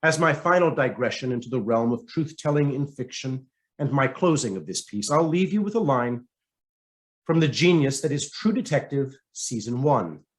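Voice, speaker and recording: A man reading a written essay aloud as scripted narration, in a measured, dramatic way with deliberate pauses. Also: medium pitch, lively intonation. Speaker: reader of a written article.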